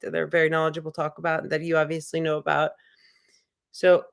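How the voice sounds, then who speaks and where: A woman's voice: on the low side, fairly bright tone. A young woman hosting a casual livestream, rambling and musing in a loose, spiritual way with many filler words. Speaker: livestream host. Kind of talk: casual livestream chat, reading viewer comments.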